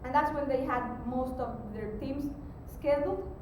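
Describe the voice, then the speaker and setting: Female conference presenter, high pitch, explanatory and halting, with rumbly noise under a very reverberant distant recording. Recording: very echoey, distant mic, rumbling background noise.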